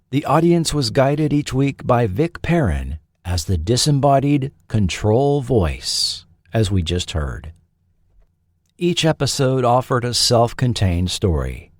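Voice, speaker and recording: A man narrating a scripted history documentary as its host, with deliberate, dramatic phrasing. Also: medium pitch, very animated, no room sound, clean studio sound.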